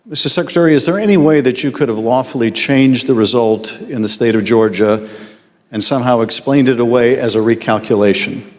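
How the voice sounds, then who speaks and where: A man questioning a witness at a formal hearing in a measured, deliberate way. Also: medium pitch. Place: large hearing room. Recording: long hall reverb.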